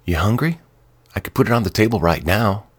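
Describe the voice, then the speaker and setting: A man reading an audiobook, voicing a nervous, eager-to-please woman in her mid-thirties with a soft, anxious manner. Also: on the low side, animated, brisk, husky, no echo, voice pushed up. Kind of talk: audiobook character voice.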